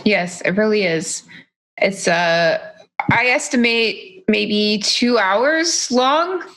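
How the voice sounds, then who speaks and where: A woman's voice: mid-range voice, takes it slowly, a bit bright. A woman co-hosting a casual gaming podcast, chatty and wry, trailing off with fillers. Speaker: podcast co-host.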